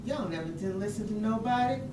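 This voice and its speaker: An actress performing a staged reading as a mother's spirit and storyteller, speaking with deliberate, incantatory phrasing. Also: mid-range voice, expressive, lightly acted. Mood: stirred, motherly. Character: majestic risen mother spirit, ancient storyteller, tender and stern. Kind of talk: staged reading, poetic monologue. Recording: echoey room, distant mic, loud background noise.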